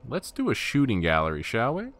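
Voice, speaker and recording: A man's voice: medium pitch, wildly swooping pitch, fairly quick, a bit bright. A man casually commenting over his gameplay, wry and self-deprecating, stumbling over his words now and then. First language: not Russian. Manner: wry, self-deprecating, stammers when flustered. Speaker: gaming commentator.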